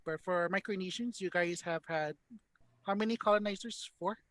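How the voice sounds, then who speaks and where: A man's voice: sits high, normal speed, a bit bright, no room sound. A man from Hawaii, Hawaiian his first language, hosting a panel discussion in an earnest, thoughtful way with many fillers. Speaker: panel host.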